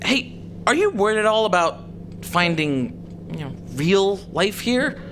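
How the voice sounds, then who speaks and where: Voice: medium pitch, big pitch swings, measured pace, noisy background. An actor playing an anxious spaceship pilot in an audio drama, nervous and rambling, with a sarcastic streak. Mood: anxious, panicky. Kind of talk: scripted audio drama acting.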